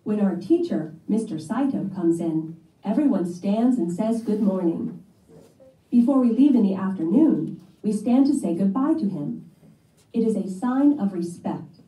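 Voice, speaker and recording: A woman reading aloud a scripted first-person children's story in a soft-toned voice. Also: medium pitch. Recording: echoey playback heard from a distance.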